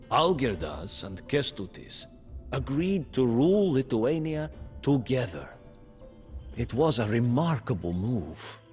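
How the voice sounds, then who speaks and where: A man narrates a game's storybook campaign in a slow, unhurried studio voice-over. His delivery is grave and measured, with a lively, swinging pitch that leans hard on dramatic moments. Faint music plays beneath him. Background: faint music score.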